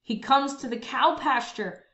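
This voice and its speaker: Slow, high-voiced woman storyteller in echoey room. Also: bright, forceful tone. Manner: dramatic, emphatic sound effects and phrasing. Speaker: storyteller reading a picture book aloud. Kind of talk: expressive children's read-aloud. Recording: dull lo-fi, roomy echo.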